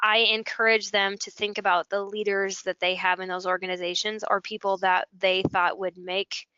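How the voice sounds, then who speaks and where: An American woman with a bright, clear voice talks in a conversational, explanatory way, walking listeners through examples. Her intonation stays fairly flat, and the close, dry audio sounds like an online presentation. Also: medium pitch, normal speed, no room sound, lo-fi sound. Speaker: school technology integration specialist, webinar guest. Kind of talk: conversational software walkthrough.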